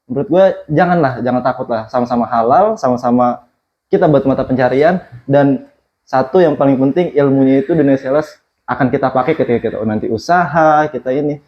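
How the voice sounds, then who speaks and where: A man hosting a casual podcast chat, breezy and slangy, laughing often and chiming in quickly. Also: mid-range voice. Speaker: podcast host. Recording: dull, slightly muffled sound.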